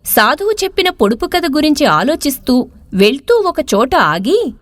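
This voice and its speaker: An expressive woman narrates a scripted moral tale. Her voice is high and very lively in intonation, with a dry, close sound. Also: normal speed, dry recording. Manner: expressive storytelling. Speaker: story narrator.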